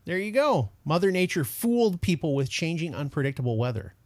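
A young man from Detroit hosting a casual podcast chat, excitable and laughing as he tells stories. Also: a little high, brisk, dry recording, clean studio sound. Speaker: podcast host.

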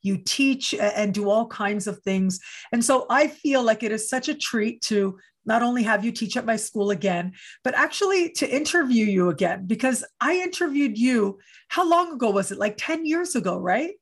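A woman's voice: a little high, lively intonation, normal speed. Enthusiastic woman hosting an interview. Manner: effusive, enthusiastic, promotional. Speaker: host.

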